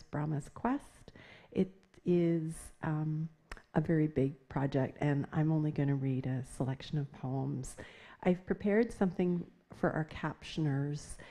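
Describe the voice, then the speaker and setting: A soft-spoken woman reads her poetry from a theatre stage, pacing each phrase with care. Her voice sits low and mellow, with a dark, gentle tone. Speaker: poet reading her work. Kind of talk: poetry reading at a literary festival.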